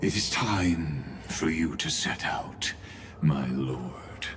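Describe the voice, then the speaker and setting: An actor voicing a composed, scheming game villain with sly, measured, formal courtesy. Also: male voice, very deep voice, expressive, background noise, full character voice. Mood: grave, insinuating. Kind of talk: video game voice acting.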